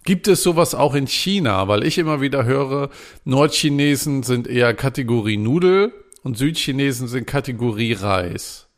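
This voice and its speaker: A man's voice: medium pitch, animated, normal speed. A man co-hosting a casual podcast, playful and laughing at his own attempts at dialect words. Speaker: podcast co-host.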